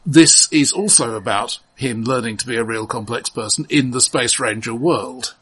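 Husky, measured man co-hosting film talk. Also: medium pitch, fairly bright tone, poor audio. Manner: measured, halting, analytical, repeats opening words. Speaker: podcast co-host. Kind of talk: casual film discussion.

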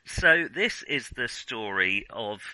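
A man's voice: medium pitch, expressive, slow pace, bright, forceful tone, dry recording, rough recording. A man hosting a podcast in casual film discussion, talking in a hesitant, self-deprecating way. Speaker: podcast host.

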